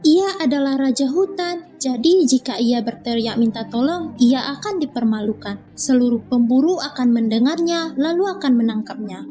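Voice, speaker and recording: Young indonesian woman reading a kids' fable, high but mellow voice, soft music behind. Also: expressive, normal speed, hardly any pauses. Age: young adult. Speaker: student storyteller. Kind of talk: scripted children's story narration.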